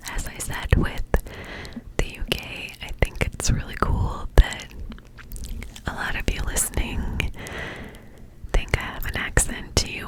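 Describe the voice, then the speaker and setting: A Canadian woman whispering close to the mic in an ASMR video, breathy with soft mouth sounds. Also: low-quality recording. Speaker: ASMR creator.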